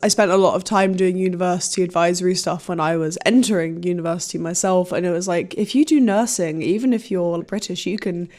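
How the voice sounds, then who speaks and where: A woman's voice: lowish voice, fairly even intonation, brisk, hardly any pauses, a little mellow. A woman hosting a podcast interview, chatty and enthusiastic, with plenty of casual fillers. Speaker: podcast host.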